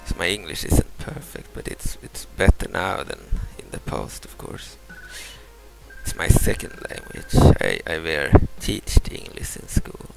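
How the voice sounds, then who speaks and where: Deep gravelly Swedish man commenting gameplay. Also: wildly swooping pitch, over music, low-quality recording. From Sweden. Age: 30s. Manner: halting, repeats words and restarts, explains moves step by step. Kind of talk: casual let's-play commentary.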